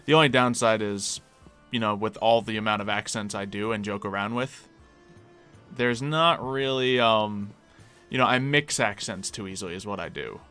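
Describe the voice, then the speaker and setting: A man's voice: fairly low pitch, normal speed, sharp tone, clear, resonant tone, soft background music. A man with a slight Philadelphia accent chatting casually on a live stream while he plays, musing aloud and laughing easily.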